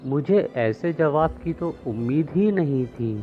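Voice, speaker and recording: A troubled farmer, acted by a man, pours out complaints in a high, very expressive voice. He pleads slowly and dramatically, like a character in a moral tale. The recording is slightly muffled, with music behind. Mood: shocked, pleading. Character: distressed, complaining farmer seeking a holy man's help. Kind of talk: acted moral-story narration.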